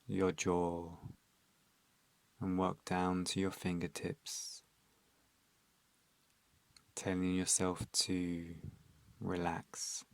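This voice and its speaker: Male meditation guide: calm and soothing, extremely monotone delivery, very dry close recording. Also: deep voice, gravelly, dry recording. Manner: calm, soothing, instructional, with long pauses.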